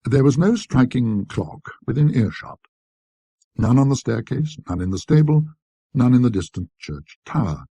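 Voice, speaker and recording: A man with a husky, raspy voice narrating a classic literary ghost story in a precise, scholarly, measured manner. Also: mid-range voice, sing-song, dark tone, dry recording.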